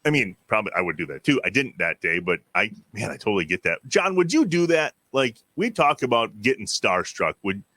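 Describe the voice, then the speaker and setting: A man's voice: lowish voice, sing-song, a little fast, hardly any pauses, bright, forceful tone, no room sound. An American man hosting a podcast, putting questions to his guests in casual chat. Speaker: podcast host.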